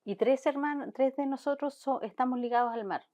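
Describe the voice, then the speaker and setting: A woman's voice: high-pitched, normal speed, dark tone. A Chilean woman marine biologist, speaking thoughtfully in an interview and often restarting as she searches for words. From Chilean Patagonia. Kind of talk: conversational interview.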